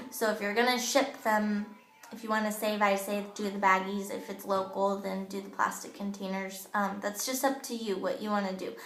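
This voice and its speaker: A woman's voice: mid-range voice, fairly bright tone, a little hiss or hum. A woman with a childish-sounding voice gives a chatty, casual training talk to her sales team, full of ums, recorded on an old smartphone in her bathroom. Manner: chatty, with many ums and you-knows. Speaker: direct-sales makeup team leader. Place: home bathroom. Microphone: old smartphone camera. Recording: echoey bathroom on a phone.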